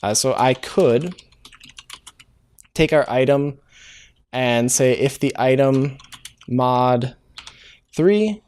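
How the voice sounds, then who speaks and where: A man's voice: medium pitch, very slow, long pauses, a little mellow. A man hosting a programming tutorial, talking casually as he codes, thinking aloud, hesitating and correcting himself with many filler sounds. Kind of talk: casual live-coding walkthrough.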